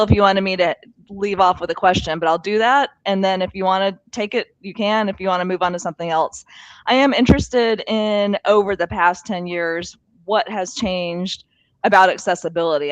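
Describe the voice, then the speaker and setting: A woman's voice: fairly low pitch, no echo, low-quality recording. A woman co-hosting a livestream in a casual, earnest and grateful way. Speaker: guest co-host and producer.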